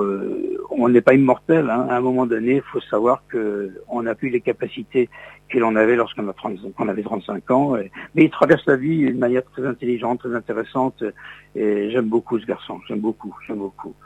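A man's voice: medium pitch, vocal fry, darkish tone, slightly rough, no echo, dull audio. An older man from Lorraine, a radio host, reminiscing in an interview with many hesitant fillers and restarts. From Lorraine, France.

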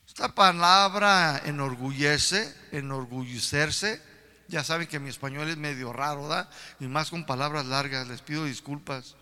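A male preacher delivers a sermon in an echoing hall. His voice sits high and is extremely bright and forceful. He speaks emphatically, often putting questions to his listeners. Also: moderate pace, smooth. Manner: emphatic, addresses listeners with repeated rhetorical questions. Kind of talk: sermon to a congregation. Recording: reverberant hall.

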